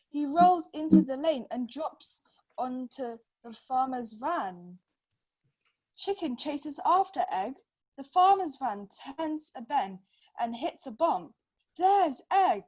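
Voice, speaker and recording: A woman's voice: sits high, darkish tone. A woman chatting casually with a child as a reading helper and reading a children's storybook aloud. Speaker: adult reading tutor helping a child.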